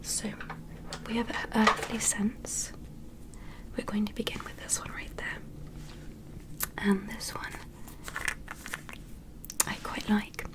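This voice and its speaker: A woman whispering an ASMR roleplay as a gentle, curious alien medic, giving soothing, encouraging step-by-step instructions. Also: mid-range voice, little pitch movement, takes it slowly, pauses often. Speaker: ASMR performer playing a medical examiner.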